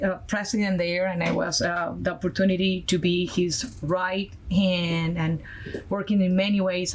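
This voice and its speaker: A woman from Colombia speaks English in a Colombian Spanish accent. Her voice sits low, and she talks earnestly, stopping often to find words. Faint noise runs under the recording. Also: poor audio. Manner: earnest, halting, many fillers. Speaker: guest, nonprofit founder. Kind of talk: podcast interview, personal story.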